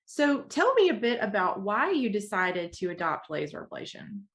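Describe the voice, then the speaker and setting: A woman's voice: medium pitch, very animated, normal speed, fairly bright tone. A woman hosting an interview, asking eager questions with open enthusiasm. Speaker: podcast host.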